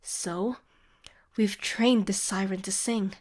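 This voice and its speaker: Female showwoman, acted for fantasy audio drama: theatrical tour guide, grand salesmanlike delivery, dramatic pauses. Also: medium pitch, steady tone, darkish tone. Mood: smooth, showy. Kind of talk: scripted fantasy audio roleplay.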